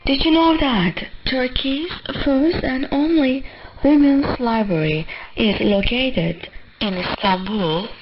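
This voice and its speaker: A woman's voice: high voice, slow pace. A woman reading scripted news and features as a radio presenter, heard over shortwave. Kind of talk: scripted broadcast narration. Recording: narrowband, noisy shortwave radio reception.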